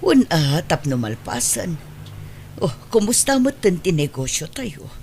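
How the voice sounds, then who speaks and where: A woman's voice: low-pitched, sing-song, unhurried, a little mellow, a little hiss or hum, exaggerated voice. A woman playing a loving, hopeful, well-off adoptive mother in an Ilocano audio drama, with an Ilocano accent. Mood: practical.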